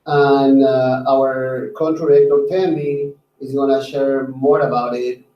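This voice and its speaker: A man on staff explaining things on a conference call, hesitant, with pauses between phrases. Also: medium pitch, little pitch movement, lo-fi sound. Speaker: staff member. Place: echoey room on a conference call. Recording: echoey room, distant conference mic.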